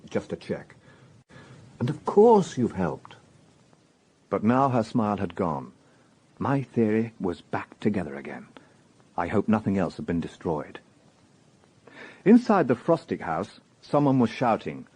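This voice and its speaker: An actor playing a measured, inquisitive police detective who narrates his case in a radio crime drama. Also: on the low side, very animated, a little mellow, breathy edge, exaggerated voice. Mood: reflective.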